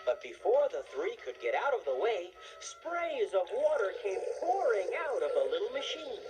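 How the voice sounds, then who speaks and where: A woman reading a scripted children's story as narrator, in an expressive storytelling manner. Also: mid-range voice, moderate pace, soft background music.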